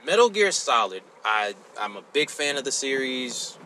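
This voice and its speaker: A man talks as a podcast host with clear noise behind him. His mid-range voice is conversational and halting, with an exasperated, foul-mouthed edge. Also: medium pitch, very animated, pushed and bright, low-quality recording. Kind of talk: casual conversational podcast chat.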